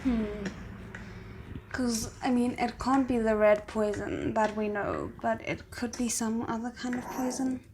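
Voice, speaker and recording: A woman's voice: fairly high pitch, lively intonation, measured pace, a crowd in the background. A woman hosting a reaction video, giving casual commentary and thinking aloud.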